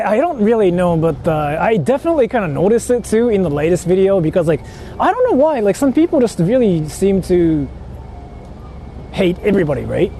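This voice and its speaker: A man's voice: high voice, warm tone. A man from Japan chatting casually on a livestream, rambling and thinking aloud in informal language with some swearing. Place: outdoors on a street, walking. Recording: clear outdoor street and traffic noise.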